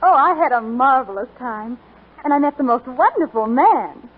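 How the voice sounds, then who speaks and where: A woman's voice: high-pitched, expressive, moderate pace, slightly rough. An actress playing a girlish young woman in a 1940s radio soap commercial. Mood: earnest, girlish. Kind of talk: 1940s radio advertisement acting. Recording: muffled, fuzzy 1940s radio recording.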